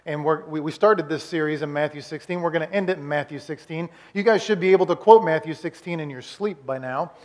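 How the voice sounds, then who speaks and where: High-voiced pastor in his forties. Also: fairly quick, few pauses, a little mellow. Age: 40s. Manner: conversational, repeats words, puts on quoted voices. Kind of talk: sermon recap.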